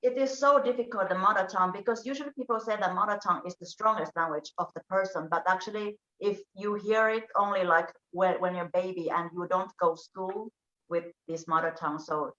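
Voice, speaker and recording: Woman guest, Finnish first language, bright, echoey room with dull lo-fi sound. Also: medium pitch, a little fast. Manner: hesitant, searching for words. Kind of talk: casual panel chat.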